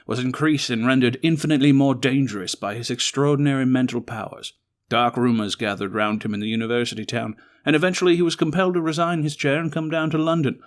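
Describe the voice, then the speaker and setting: A man's voice: mid-range voice, lightly acted. A man playing a brilliant, weary but resolute Victorian detective in a one-man audio drama, articulate, formal and emphatic.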